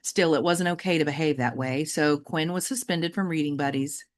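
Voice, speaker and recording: A woman reading a children's novel aloud like an audiobook, with deliberate, emphatic and varied phrasing. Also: low-pitched. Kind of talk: expressive audiobook-style storytelling for children.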